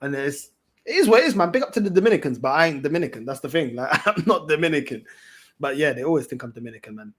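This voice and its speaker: A man's voice: fairly high pitch, lively intonation, fast talker. A man with a London accent chatting casually as a livestream host, in a slangy, repetitive, emphatic way. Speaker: fan channel host.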